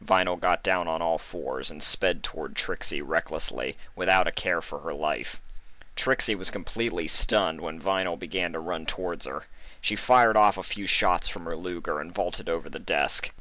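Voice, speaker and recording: A man's voice: deep voice, normal speed, pushed and bright, dry recording, poor audio. A man narrating a scripted story dramatically. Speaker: fan fiction narrator.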